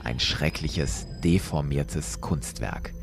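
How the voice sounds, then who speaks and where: A man's voice: a bass voice, slow pace, music in the background. A man narrating a scripted story dramatically, as a host retelling it for listeners.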